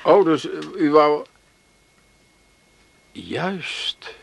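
Bashful bumbling attendant, comic radio drama, dry close sound, wide wandering pitch, stammering, smitten. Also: male voice, very animated, extremely slow, creaky, no echo, full character voice. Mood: smitten, flustered. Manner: stammering, flustered, trailing off. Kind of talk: comic radio play acting.

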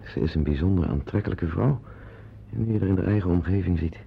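An actor playing a self-important, opinionated husband in a radio drama, confiding in listeners in a wordy way and hesitant with strangers. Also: male voice, sits low, brisk, gravelly, slight background noise. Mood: musing, confiding. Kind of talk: radio drama acting with narrated asides. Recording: dull, lo-fi old radio play recording.

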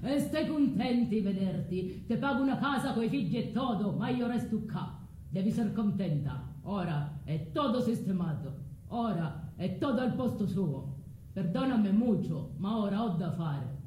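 A woman's voice: mid-range voice, animated, a little slow, warm tone, natural voice. A woman acts out a breezy husband, brushing off his wife. The delivery is drawling and theatrical, in a stage play. The room is echoey with faint noise behind. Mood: breezy, dismissive. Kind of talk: theatrical character acting.

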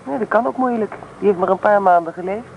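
An actress playing a headstrong, teasing young student in a radio play, blunt and self-assured in naturalistic dialogue. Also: female voice, medium pitch, quick pace, vocal fry, narrow, tinny audio, natural voice. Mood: frank, reflective. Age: young adult. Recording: noisy old radio play recording.

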